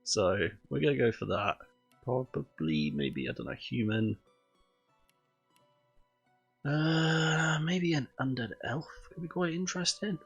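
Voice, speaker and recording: A man's voice: mid-range voice, animated, takes it slowly, creaky, long pauses, husky, highs cut off, soft background music. A man with a cold and a stuffy, nasal voice, chatting casually through a video game while streaming, thinking aloud with lots of ums.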